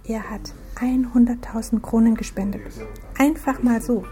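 A woman's voice: fairly high pitch, normal speed, mellow, faint music behind, close to an everyday voice. An actress playing a chatty, teasing young woman in a radio crime drama.

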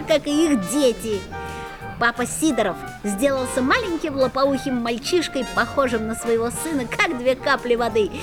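A mischievous schoolboy narrator. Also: female voice, high-pitched. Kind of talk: radio play narration. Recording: music playing behind.

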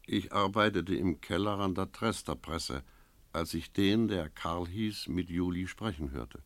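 An actor playing a brooding village innkeeper, narrating his memories in a measured, lyrical, solemn manner for a radio play. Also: low voice, dry recording. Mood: watchful.

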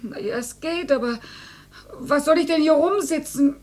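A woman playing a mild, self-effacing dead mother who still talks, fussy and gently plaintive, in a German radio drama. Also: sits high, moderate pace, lightly acted. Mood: weak, resigned.

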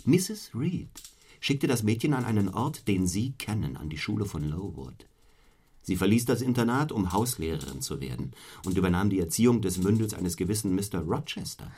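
An actor playing a cold, stern, fiercely ambitious clergyman in a radio play, speaking in a formal, deliberate, rhetorical way. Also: fairly low pitch, very animated, mellow, husky. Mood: measured storytelling. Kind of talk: German radio play acting.

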